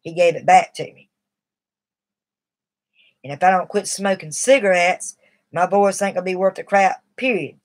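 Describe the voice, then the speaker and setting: A woman's voice: on the low side, steady tone, normal speed, pauses often. Earnest woman on a live stream. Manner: rambling, earnest and confiding. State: shaky from nicotine withdrawal. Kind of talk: casual live stream talk.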